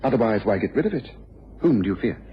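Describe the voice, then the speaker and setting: A brisk gentleman detective, quick and very animated in pitch. He is formal, shrewd and commanding, heard on a muffled old radio recording. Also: full character voice. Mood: probing, resolute. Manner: brisk, crisp, formal, incisive. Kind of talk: old-time radio drama acting.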